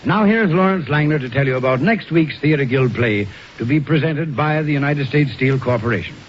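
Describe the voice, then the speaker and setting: A man with a slightly high voice announces in formal 1940s radio style. The old broadcast recording is muffled, with faint noise. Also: lively intonation, brisk.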